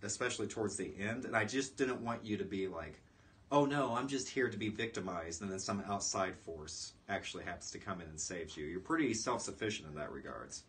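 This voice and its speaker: A man in his thirties hosting a relaxed, conversational interview in a small room, picked up by a distant mic. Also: lowish voice, a little fast. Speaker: podcast host and writer. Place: small indoor room, seated in armchairs. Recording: distant room mic, audible background noise.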